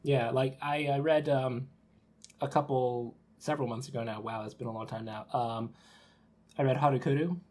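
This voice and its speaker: A man's voice: mid-range voice, steady tone, moderate pace, a little mellow. A man chatting informally as a podcast host, reacting readily to the others. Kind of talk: casual podcast chat.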